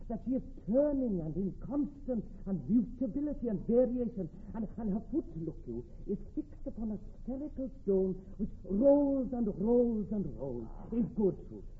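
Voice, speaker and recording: Pedantic officer, emphatic, very lively pitch, stage-trained delivery, muffled hissy radio recording. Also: male voice, moderate pace, hardly any pauses. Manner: fussy, emphatic explaining. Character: pedantic, earnest, excitable Welsh army captain. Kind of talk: theatrical Shakespearean radio acting. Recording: dull, noisy old radio recording.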